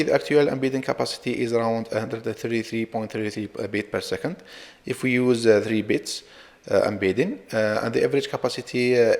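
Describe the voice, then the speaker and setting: A man giving an academic slide presentation as a researcher, with halting, hesitant delivery and frequent repeats. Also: mid-range voice. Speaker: researcher presenting own work. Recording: reverberant, hall-like echo.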